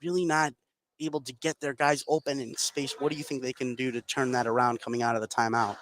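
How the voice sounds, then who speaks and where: A man's voice: medium pitch, level tone, quick pace, fairly bright tone. A man giving live radio play-by-play of a basketball game, following the action in clipped running phrases. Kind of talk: live radio sports commentary. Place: noisy indoor basketball gym. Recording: live broadcast with clear background noise.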